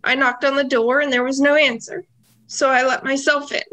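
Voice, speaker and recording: Woman as bright, high-voiced evasive showgirl. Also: little pitch movement, normal speed, rough recording. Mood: dramatic. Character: evasive young female club performer, dramatic witness. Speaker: actress in community theatre radio play. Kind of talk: melodramatic radio play dialogue.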